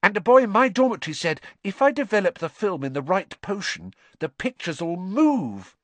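Eager, high-piping little schoolboy. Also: male voice, very animated, normal speed, fairly bright tone, dry recording. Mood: eager, excited. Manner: gushing, hopeful, piping. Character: eager, breathless, star-struck small schoolboy. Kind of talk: audiobook character voice.

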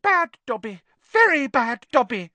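A tiny, devoted elf from a storybook, pitched far up into a squeal. The speech is miserable, servile and pleading, swooping anxiously, in a very dry, close recording. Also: male voice, big pitch swings, measured pace, sharp tone, no echo, full character voice. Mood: self-scolding. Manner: stammering, pleading, speaks of self in third person.

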